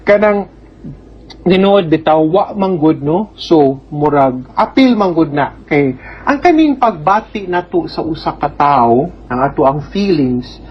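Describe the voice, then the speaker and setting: A woman's voice: deep voice, animated, a little slow, husky, lo-fi sound. A Filipino woman with a Bisaya accent reads out questions and answers them as an advice host, explaining informally with tag questions. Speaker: host giving legal advice to a letter sender. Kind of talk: advice segment, reading out and answering questions conversationally.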